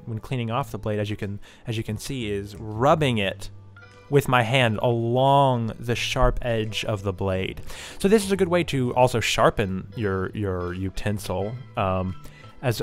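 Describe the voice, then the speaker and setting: A young man in a home kitchen, hosting a tongue-in-cheek cooking tutorial with mock confidence and halting, repeated words. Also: mid-range voice. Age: young adult. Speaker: host of a cooking parody vlog. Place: home kitchen and dining area, indoors.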